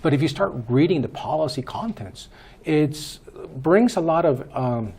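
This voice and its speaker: A man in his fifties from Peru, answering interview questions as a guest in a measured way with many hesitations. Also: on the high side, lively intonation, darkish tone, breathy edge. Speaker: guest, school board candidate.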